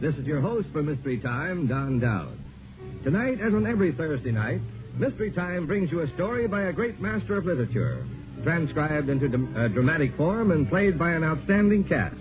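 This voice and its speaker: A man's voice: on the high side, big pitch swings, brisk. A man hosting a 1950s radio show, making a scripted announcement. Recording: muffled 1950s radio recording. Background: music.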